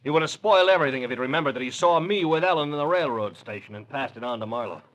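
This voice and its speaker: A man's voice: fairly high pitch, animated, rapid-fire, a bit bright, lo-fi sound. A male actor playing a menacing, sarcastic crime-drama killer with an American accent, taunting in 1940s radio style. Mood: sneering, menacing. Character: sneering, menacing male gangland killer with a gun.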